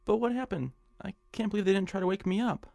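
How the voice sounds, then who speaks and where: Nervous meek nebbish, high creaky voice, stammers, dry radio drama sound, faint music. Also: male voice, animated, fast talker, a little mellow, no room sound. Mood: puzzled. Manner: hesitant, flustered, stammering. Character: meek, anxious, hapless nebbish man.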